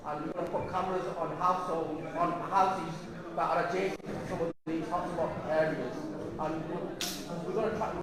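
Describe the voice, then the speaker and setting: A middle-aged councillor addresses a community gathering in a fairly high voice, earnest and given to stumbling restarts. He is recorded from a distance in a strongly echoing hall, and the chatter of a crowd carries underneath. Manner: earnest, repeats words while restarting. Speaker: local councillor. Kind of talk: community event speech.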